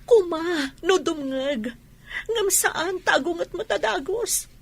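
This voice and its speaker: A woman's voice: extremely high pitch, expressive, slow pace, vocal fry, full character voice. A woman with an Ilocano accent playing an earnest, wronged young wife in a radio drama. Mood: hurt, defensive.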